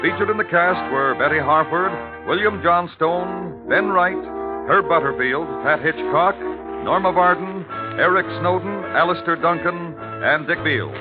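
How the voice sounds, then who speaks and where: A man's voice: a little high, normal speed. A man with an American accent making dramatic, formal announcements in the style of 1950s radio. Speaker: program announcer. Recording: tinny vintage radio, loud orchestral music behind.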